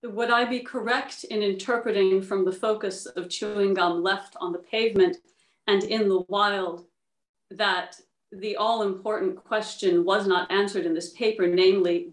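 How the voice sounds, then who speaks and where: A woman's voice: mid-range voice, steady tone, normal speed, reverberant, poor audio. A woman on a panel speaking with precise, formal phrasing. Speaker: panelist asking questions.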